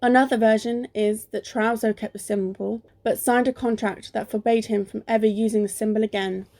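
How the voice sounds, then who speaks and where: A woman playing a clever, level-headed amateur sleuth in an amateur radio play, with a measured delivery. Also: on the high side, little pitch movement, warm tone, lightly acted. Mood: measured, reading aloud. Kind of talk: amateur radio play acting, often reading articles aloud. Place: recorded alone at home.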